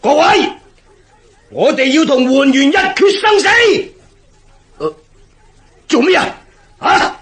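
An actor playing an indignant, excitable general in a historical radio drama, declaiming with a husky voice. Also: male voice, extremely high pitch, slow, pauses often, exaggerated voice. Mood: outraged. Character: blustering, indignant turncoat army general.

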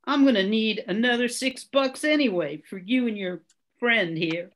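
A woman's voice: fairly high pitch, moderate pace, fairly bright tone, natural voice. An older woman playing a bored, blunt, dry motel day manager in an old-time radio drama.

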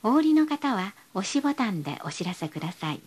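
A woman's voice: medium pitch, big pitch swings, brisk, a little mellow, slightly rough. A woman reading scripted bus stop announcements in a polite, formal, carefully enunciated way.

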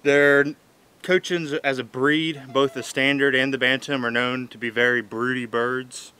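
A man in his thirties talking casually outdoors in a farmyard, halting with many fillers and restarts. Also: mid-range voice, little pitch movement, sharp tone, clear, resonant tone. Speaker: backyard chicken keeper. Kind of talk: casual unscripted vlog. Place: outdoors in a sunny pine-wooded farmyard. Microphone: camera mic at close range.

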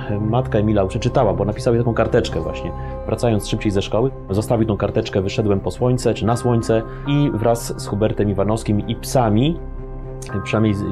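Guy in his 40s, lowish voice, lots of asides and ums, guitar music under. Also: fairly quick. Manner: discursive, many fillers and asides. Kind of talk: interview explanation. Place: office with bookshelves.

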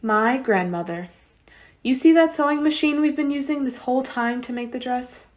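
A woman's voice: sits high, moderate pace, natural voice. A woman playing an earnest, sentimental young refugee girl in a radio play.

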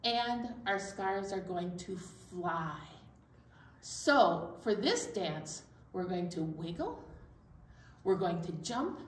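A middle-aged woman hosting a storytime for young children, explaining things simply and speaking to them directly. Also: mid-range voice. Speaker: children's library storytime host. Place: indoor library storytime room. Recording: roomy, distant mic, faint room noise.

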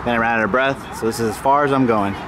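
A man's voice: medium pitch, quick pace. A man, chatting casually to a handheld camera with joking, slangy talk. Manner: joking, slangy, swearing banter. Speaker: vlogger. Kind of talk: casual vlog chat. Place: outdoors on busy city streets. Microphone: handheld vlog camera at arm's length. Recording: loud street and traffic noise.

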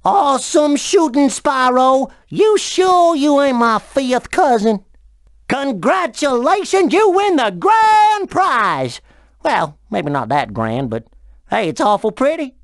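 A woman's voice: high voice, sing-song, takes it slowly, dry recording, studio quality, heavily acted. A woman playing a folksy, playful honey-country game host with a drawling Southern US accent, cheerfully teasing. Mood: congratulating. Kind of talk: scripted video game character dialogue.

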